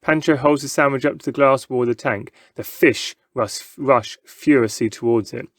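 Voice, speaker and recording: A man's voice: medium pitch, moderate pace, no echo. Man reading a children's story, dry sound. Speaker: story reader. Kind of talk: scripted children's book narration, present tense.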